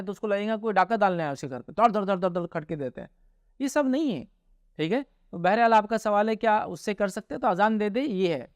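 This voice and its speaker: A man's voice: high voice, clear, resonant tone, no room sound. A man with an Indian accent answering religious questions informally as a scholar, explaining and often checking that listeners follow.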